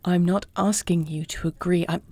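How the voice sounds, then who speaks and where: The battlefront queen in a fantasy audio roleplay acted by a woman, formal and headstrong in a lowish register, heard up close with no room around it, as if in your headphones inside her command tent. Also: fairly even intonation, normal speed, a little mellow, no echo. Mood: firm. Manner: formal, regal phrasing. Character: dragon-shifter princess, newly wed, dutiful, proud, headstrong warrior. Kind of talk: scripted audio roleplay acting, one side of a dialogue.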